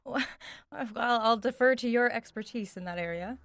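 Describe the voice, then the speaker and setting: A woman's voice: on the high side, measured pace, a bit bright. A young American woman playing a wry, skeptical but loyal friend who questions directly in a naturalistic audio drama. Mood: probing, skeptical. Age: young adult. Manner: dry, sardonic, direct.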